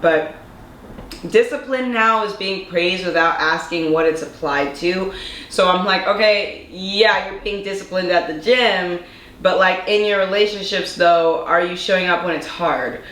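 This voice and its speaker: A woman's voice: on the low side, unhurried, a bit bright. Congested young woman in an echoey room. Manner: earnest, lecturing, asks rhetorical questions. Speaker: vlogger. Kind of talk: casual opinion monologue to camera. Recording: echoey room with faint noise.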